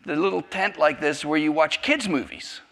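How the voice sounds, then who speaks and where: Rambling, bright-voiced man in his fifties. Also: fairly high pitch, moderate pace. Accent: American. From South Africa. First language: Afrikaans. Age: 50s. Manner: rambling, self-interrupting, jokey. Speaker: church class speaker. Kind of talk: personal testimony talk.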